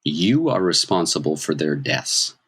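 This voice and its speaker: A man playing a stern, angry man who delivers blunt, profane warnings in a deliberate, cold way. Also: very deep voice, big pitch swings, fairly bright tone, breathy edge, pitched below the performer's own voice, heavily acted. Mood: cold anger.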